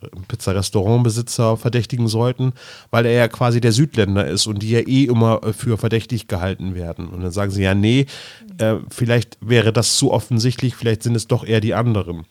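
A man's voice: lowish voice, fairly even intonation, fairly quick, a little mellow, no room sound, studio quality. A man co-hosting a podcast in casual chat, often searching for words and repeating himself. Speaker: podcast co-host. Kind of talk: casual chat about nostalgic audio dramas.

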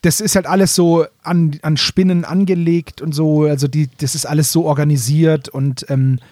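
Higher-voiced man chatting casually, close dry sound. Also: fairly even intonation, moderate pace, mellow, no echo. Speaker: podcast co-host. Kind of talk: casual, conversational fan talk.

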